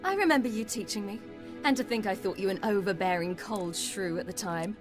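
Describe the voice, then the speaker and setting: Young adventurous heroine, woman performer: direct, determined, mid-range voice, game drama over soft music. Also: medium pitch, fairly quick, close to an everyday voice. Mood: fond. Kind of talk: video game cutscene acting.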